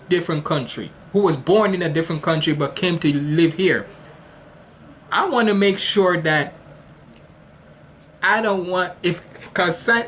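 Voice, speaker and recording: A man's voice: sits high, a bit bright, a little hiss or hum. A man from Jamaica hosting a reaction video, talking casually and rambling with many restarts and slang.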